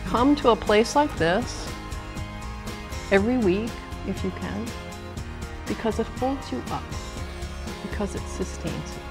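A middle-aged woman job coach giving an energetic, emphatic pep talk in a documentary interview. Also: mid-range voice, unhurried, long pauses, music in the background.

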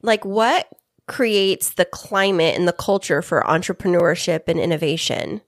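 A woman's voice: fairly low pitch, a little slow, no room sound, studio quality. An American woman co-hosting a podcast, asking questions in a casual, chatty way. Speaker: podcast co-host, interviewer. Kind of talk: casual chat.

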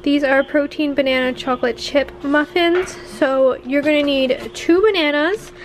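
High-voiced chatty young mom. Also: female voice, normal speed. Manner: chatty, talking straight to viewers with fillers. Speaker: family vlogger. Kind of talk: casual cooking voice-over.

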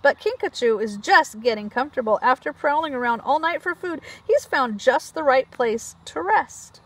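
A woman preschool teacher reading a picture book aloud to young children in an animated, expressive way, with chatty asides. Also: high-pitched, normal speed, poor audio.

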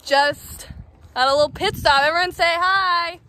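A woman's voice: very high-pitched, normal speed, sharp tone. A woman in her twenties chatting casually to a phone camera held at arm's length, upbeat and rambling with asides. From Arizona. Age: 20s. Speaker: vlogger. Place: car, home and outdoor trail.

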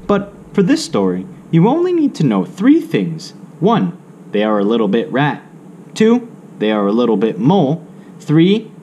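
A man reading a children's story aloud with measured, theatrical expression. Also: mid-range voice, mellow, a little hiss or hum.

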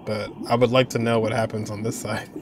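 A young man reacting to a game, relaxed and musing, laughing often. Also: fairly low pitch, a little fast, background noise. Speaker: gaming reaction streamer. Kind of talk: casual reaction commentary. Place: indoor room at desk. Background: game music.

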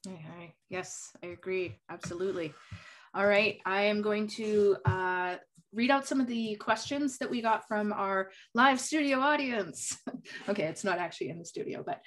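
A woman's voice: medium pitch, moderate pace, fairly bright tone. Young woman moderating over a video call, friendly, reads questions out. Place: home office. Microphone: video call webcam.

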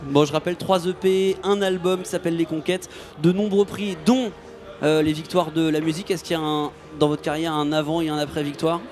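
A man's voice: a little high, steady tone, a little fast, darkish tone, soft background music. A middle-aged man hosting a festival radio interview, familiar and teasing in his questions. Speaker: radio host. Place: festival radio booth in front of a sponsor backdrop. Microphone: handheld radio mic, wearing headphones.

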